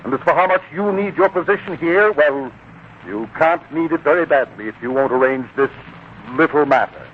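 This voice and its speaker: An actor playing a proud, petty, easily offended employer with a pompous, indignant manner in a 1940s radio drama. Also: male voice, on the high side, expressive, normal speed, close to an everyday voice. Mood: indignant, menacing. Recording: tinny, noisy 1940s radio broadcast.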